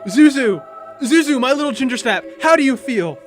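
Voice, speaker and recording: A man's voice: very high voice, expressive, moderate pace, faint music behind. A man playing an overjoyed husband and father, frantic with love, in a radio drama. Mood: tender, excited.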